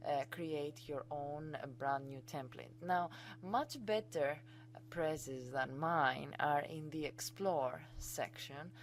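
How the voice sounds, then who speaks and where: A woman's voice: low voice, slow, slight background noise. A woman with a Greek accent, a native Greek speaker, giving an enthusiastic, chatty screencast tutorial as a college instructor.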